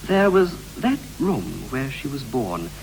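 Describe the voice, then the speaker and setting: An actor playing a wistful married father who looks back on family life in measured, poetic lines of a 1960s radio drama. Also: medium pitch, sing-song. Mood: nostalgic. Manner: measured, poetic, reflective delivery. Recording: noisy, humming 1960s radio recording.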